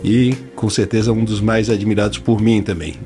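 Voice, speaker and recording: A man's voice: on the low side, fairly even intonation, normal speed, warm tone, soft background music. A man hosting a podcast interview, chatty and informal. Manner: chatty, informal, repeats words while thinking. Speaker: podcast host.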